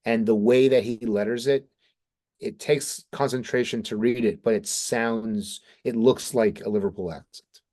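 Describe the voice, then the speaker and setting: A man with a mid-pitched voice talks casually as a podcast co-host. He sounds like an animated, enthusiastic fan discussing comics in detail, with some mild swearing. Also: moderate pace.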